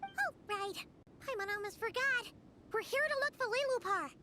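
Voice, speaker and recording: A woman voicing a tiny, cheerful, childlike fairy companion in a video game, excitable and squeaky. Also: normal speed, noisy background. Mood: earnest, chatty. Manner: excitable, refers to self in third person. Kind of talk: animated video game character acting.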